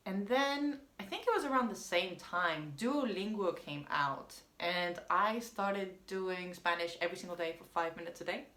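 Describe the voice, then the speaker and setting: A woman's voice: on the low side, moderate pace, hardly any pauses, a bit bright. A woman whose first language is French, telling a casual personal story in a rambling, chatty way with frequent ums. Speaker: vlogger. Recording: roomy echo.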